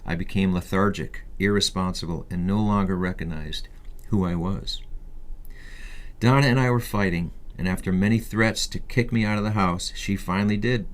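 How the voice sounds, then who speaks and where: A man with a low-pitched voice narrates a personal memoir. He reads from a prepared script in the first person, as an audiobook narrator would. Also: moderate pace.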